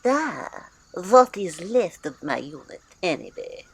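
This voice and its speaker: A woman playing a stern, calculating Soviet vampire commissar, deliberate and theatrical in an audio drama. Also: lowish voice, sing-song, full character voice. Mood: stern, cool.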